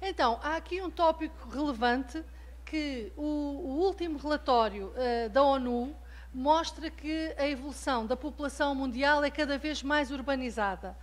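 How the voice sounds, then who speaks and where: High-voiced Portuguese woman lecturing. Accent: European Portuguese. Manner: measured, with frequent hesitant fillers. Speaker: guest lecturer. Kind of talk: academic keynote lecture. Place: lecture hall.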